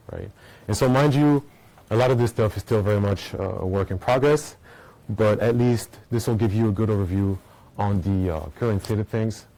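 Low, mellow-voiced man giving a tech talk. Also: rough recording. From Belgium. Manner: casual, explaining, frequent "right?" and "uh" fillers. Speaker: conference speaker. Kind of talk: technical conference talk. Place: conference room with an audience. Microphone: small recording mic.

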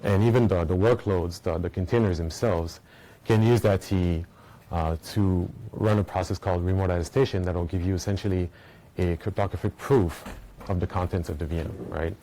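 Low, mellow-voiced man giving a tech talk. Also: fairly even intonation, fairly quick, a little hiss or hum, low-quality recording. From Belgium. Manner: casual, explaining, frequent "right?" and "uh" fillers. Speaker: conference speaker. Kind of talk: technical conference talk. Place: conference room with an audience. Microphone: small recording mic.

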